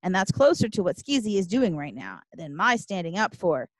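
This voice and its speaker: A woman's voice: on the low side, very animated, normal speed, no room sound. A woman voicing an earnest, opinionated seventh-grade girl in an audiobook, speaking emphatically and righteously. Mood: exasperated. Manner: righteous, emphatic, formal wording. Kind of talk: audiobook character dialogue.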